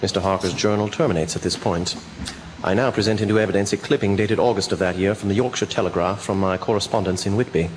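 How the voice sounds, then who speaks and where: An actor playing a grave doctor who reads evidence aloud in a measured, portentous radio drama style. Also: male voice, fairly low pitch, a little hiss or hum, natural voice, low-quality recording. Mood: grave, suspenseful. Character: grave male doctor presenting evidence, reading aloud solemnly.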